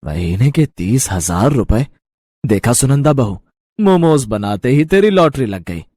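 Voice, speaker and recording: A man voicing an elderly, kindly father-in-law in a cartoon story, speaking slowly and reassuringly. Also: mid-range voice, sing-song, a little mellow, no room sound, studio quality.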